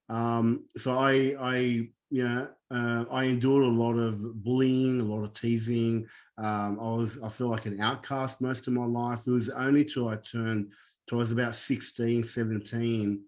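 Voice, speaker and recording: A man's voice: mid-range voice, darkish tone. A man born in Lebanon who speaks Arabic natively, earnestly telling his life story as a podcast guest, with many ums and restarts. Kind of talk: candid personal storytelling.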